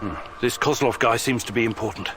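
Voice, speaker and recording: An actor playing a wary, skeptical male hero in a video game, questioning and steady. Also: mid-range voice, fairly quick, natural voice. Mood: suspicious. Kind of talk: video game character acting.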